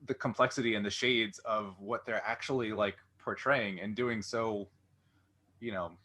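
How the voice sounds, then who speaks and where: A man's voice: fairly low pitch, fairly even intonation, normal speed, bright, forceful tone. A man hosting a casual interview, thinking aloud in a rambling, conversational way. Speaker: interview host.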